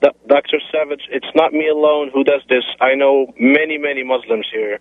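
A man's voice: mid-range voice, steady tone, normal speed, no echo. A man from Morocco calling in to a radio show, earnest and a little hesitant, repeating words as he speaks. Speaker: caller. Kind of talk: phone-in call. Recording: tinny phone line.

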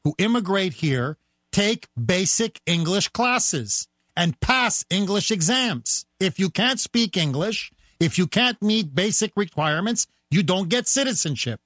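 A man guest-hosting a talk radio show, talking in a forceful, rhetorical way and speeding up as he presses his points. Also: high voice, expressive, a little slow, no echo. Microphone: studio microphone.

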